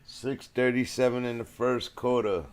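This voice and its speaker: A man's voice: mid-range voice, steady tone, moderate pace. A man doing live American football play-by-play commentary in short, colloquial phrases. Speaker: play-by-play sports host.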